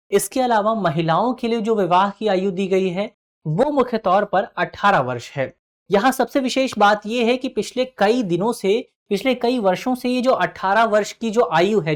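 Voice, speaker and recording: A woman with a medium-pitched voice gives an explanatory lecture as an educator. She moves methodically through her material, often repeating a phrase in simpler words so that it is easy to follow. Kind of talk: explanatory lecture on current affairs.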